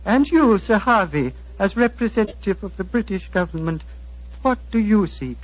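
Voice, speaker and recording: Fatherly old abbot, high lilting voice. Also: lively intonation. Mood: courteous, formal. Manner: solemn, formal, measured. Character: old Tibetan abbot, fatherly, grave, courteous. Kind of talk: 1940s radio acting. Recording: noisy, humming, muffled 1940s radio recording.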